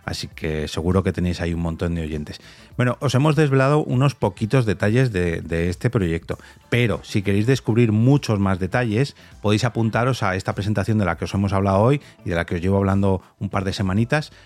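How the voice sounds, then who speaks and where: A man with a fairly low voice and an accent from Spain hosts a podcast. He talks informally and chattily, addressing listeners directly in a conversational interview style. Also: fast talker, a little mellow. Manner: chatty, informal, fond of diminutives.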